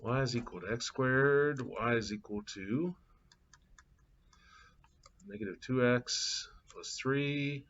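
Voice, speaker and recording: A man's voice: medium pitch, takes it slowly, long pauses, a bit bright. A middle-aged man teaching a live calculus class, chatting casually and thinking aloud with fillers. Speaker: math instructor. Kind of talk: live class lecture with anecdotes. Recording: dull lo-fi classroom capture.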